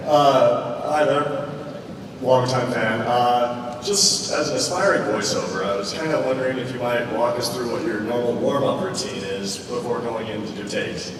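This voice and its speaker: A man's voice: mid-range voice, moderate pace. A man in the audience at a panel, casually asking a question. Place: large convention panel room. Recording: very echoey hall, distant, loud noise.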